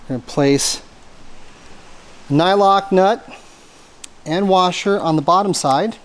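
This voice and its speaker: A man's voice: high-pitched, slow pace. A man presenting a methodical, step-by-step hands-on installation tutorial for a product he made. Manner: methodical, explanatory. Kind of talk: step-by-step hands-on how-to demonstration.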